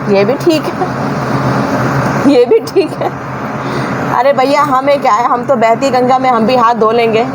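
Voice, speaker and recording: A woman's voice: sits high, measured pace, long pauses. A woman giving informal, opinionated news commentary in an indignant, scolding manner, with emphatic rhetorical questions. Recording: clearly noisy background.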